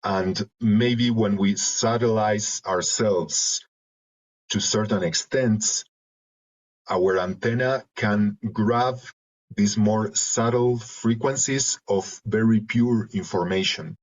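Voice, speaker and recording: A man from Argentina with a Spanish accent, reflecting in an interview in halting, searching phrases over a video call. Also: lowish voice. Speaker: interview guest, creative and therapeutic facilitator. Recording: dull lo-fi video call audio.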